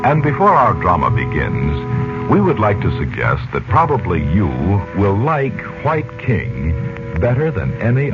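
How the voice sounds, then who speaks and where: A male announcer from vintage radio, deep-pitched and theatrical. His emphatic delivery rises and falls widely. The sound is dull and old. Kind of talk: 1940s scripted radio announcing, soap pitch and recap. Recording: muffled 1940s radio recording. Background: loud music.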